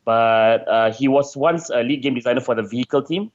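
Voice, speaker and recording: A man's voice: mid-range voice, a little fast. Dull-sounding male game designer in interview. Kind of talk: casual interview talk.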